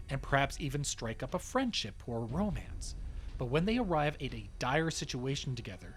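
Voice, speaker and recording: A man hosting a podcast, reading scripted narration as a writer presenting his work. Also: medium pitch.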